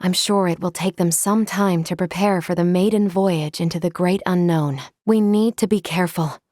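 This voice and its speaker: An actress voicing a composed, polite female android in a video game, speaking in formal, measured, precise phrases. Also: on the low side, steady tone, a little mellow, no room sound, clean studio sound, natural voice. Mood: serious, measured. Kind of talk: scripted video game character lines.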